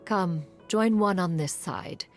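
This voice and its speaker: A woman's voice: lowish voice, slow. A woman voicing an ancient, aloof female adeptus who speaks in a formal, archaic way. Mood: composed. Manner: archaic formal speech, calls herself "one". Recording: faint music underneath.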